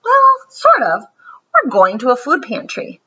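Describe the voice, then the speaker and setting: Lady doing a storybook mom, patient and encouraging, husky with big pitch swings, bit muffled recording. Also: wildly swooping pitch, unhurried, sharp tone, heavily acted. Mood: patient, reassuring. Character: reassuring, proud mother in a picture book.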